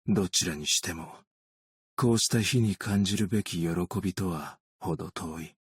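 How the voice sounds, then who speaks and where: A man voicing a weary, gentle, long-lived optician in a video game, measured, understated and quietly melancholic. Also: deep voice, slightly rough, no echo, studio quality, full character voice. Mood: wistful, melancholic. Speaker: video game character voice actor. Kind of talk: scripted game character voice lines.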